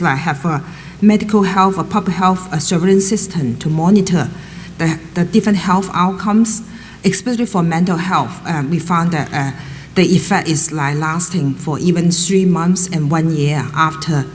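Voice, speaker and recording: A woman's voice: fairly low pitch, normal speed, darkish tone. A woman professor on a panel, speaking tentatively and with plenty of fillers into a panel microphone at a public event. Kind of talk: panel discussion answer. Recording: roomy hall reverb, faint noise.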